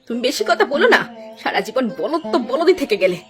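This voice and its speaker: Lady doing bossy greedy wife, scolding, fast n swoopy, cartoon. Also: sits high, big pitch swings, soft background music. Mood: scornful, bossy. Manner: sharp-tongued, scolding. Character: greedy, scornful, domineering village wife. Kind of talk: cartoon dialogue acting.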